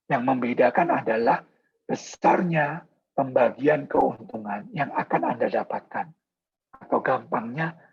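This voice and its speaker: Hoarse man, gravelly husky voice. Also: mid-range voice. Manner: polite, explanatory, many filler pauses. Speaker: product presenter. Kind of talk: sales presentation in a video meeting. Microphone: video call. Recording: dull lo-fi video call audio.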